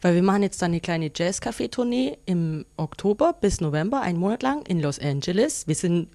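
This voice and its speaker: A woman in her twenties from Augsburg, a musician answering interview questions in a lively, giggly way. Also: fairly low pitch, fairly quick, no echo. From Augsburg, Germany. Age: 20s.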